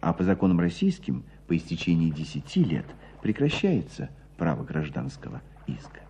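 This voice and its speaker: An actor playing a wry storyteller narrating a radio drama in a husky voice. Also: male voice, low voice, huge pitch swings, moderate pace, mellow, a little hiss or hum, full character voice. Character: wry storyteller recounting a provincial dispute. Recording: dull radio drama recording.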